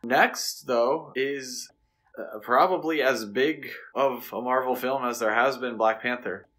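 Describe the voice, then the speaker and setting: A man's voice: medium pitch, measured pace, a bit bright. Opinionated man chatting about films. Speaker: podcast co-host. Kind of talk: casual film discussion.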